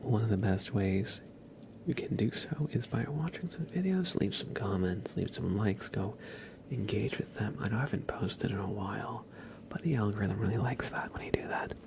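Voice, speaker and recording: A man hosting an ASMR livestream, chatting casually and gently to viewers in a breathy whisper. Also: expressive, moderate pace, noisy background. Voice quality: whispered, breathy, with some soft creaky low voicing. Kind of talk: ASMR, soft casual chat to live viewers.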